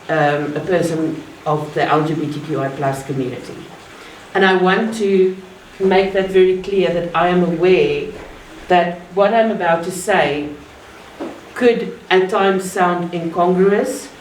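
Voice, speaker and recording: A woman from South Africa with an Afrikaans accent, giving an earnest, measured public talk with deliberate pauses. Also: on the low side. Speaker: guest speaker. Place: art gallery. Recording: roomy, distant mic, faint ambient noise.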